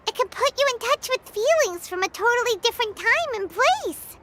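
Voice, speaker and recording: A woman's voice: very high-pitched, lively intonation, normal speed, a bit bright, slight background noise. An actress playing a tiny, chatty, chirpy female sprite companion who refers to herself by name. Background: game music.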